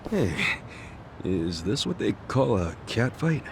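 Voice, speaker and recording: An actor voicing a brash, cheeky, chatty yakuza hero in an English video game dub. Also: male voice, fairly low pitch, big pitch swings, slow pace, gravelly, background noise, heavily acted. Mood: casual, curious.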